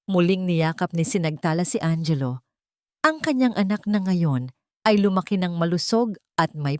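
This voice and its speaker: A woman's voice: fairly low pitch, a little mellow, dry recording, clean studio sound. A woman narrating a scripted sentimental story in an earnest, dramatic storytelling manner.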